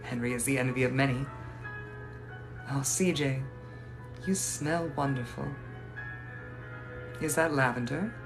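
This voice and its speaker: A man's voice: mid-range voice, unhurried, pauses often, over music, lightly acted, poor audio. A man voicing the ghost of a young lady from the 1880s, speaking in a formal, old-fashioned and gracious manner. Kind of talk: improvised live roleplay answering viewers.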